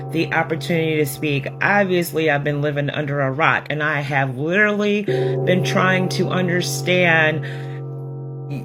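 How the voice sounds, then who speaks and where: Low-voiced woman chatting over guitar music. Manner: chatty, amused, laughs between remarks. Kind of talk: casual storytelling. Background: music playing.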